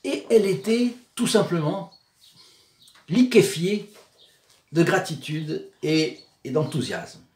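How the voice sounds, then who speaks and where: Man, provençal accent, preacher, slow unhurried delivery, lively pitch, echoey room. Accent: Provençal southern French. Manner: digressive, with frequent hesitations and repeated words. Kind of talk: sermon storytelling.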